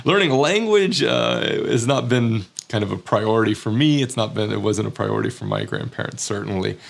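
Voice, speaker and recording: A man's voice: lowish voice, sing-song, a little fast, vocal fry. An American man talking in a candid, self-deprecating way, with many fillers and restarts, about his own experiences as a teacher living abroad. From United States. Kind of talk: reflective personal monologue.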